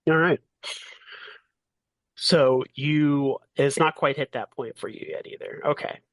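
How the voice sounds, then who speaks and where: A man acting as game master on a podcast, improvising narration and questions in a halting, rephrasing manner. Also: on the high side, no room sound, poor audio. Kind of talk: improvised narration of a tabletop role-playing game.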